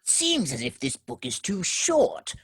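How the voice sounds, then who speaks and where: A woman voicing an eager, pleading male ghost in a radio play, husky and excitable. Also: on the low side, unhurried, no room sound, studio quality, full character voice. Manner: wheedling, excitable.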